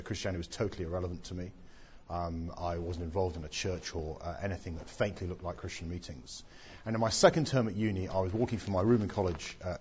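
A man's voice: fairly low pitch, lo-fi sound, a little hiss or hum. A middle-aged Englishman giving his testimony in an onstage interview, with dry self-deprecating humour and plenty of ums. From England.

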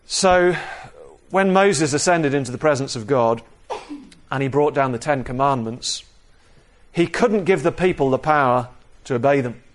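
A man preaching an expository sermon in a measured, explanatory manner, repeating key phrases for emphasis. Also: medium pitch, expressive. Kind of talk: sermon, expository Bible teaching.

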